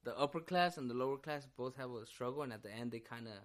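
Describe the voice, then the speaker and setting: Man, podcast co-host, casual chat, relaxed, loose, middle pitch. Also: fast. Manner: relaxed, loose, says "yeah" and "dude" a lot.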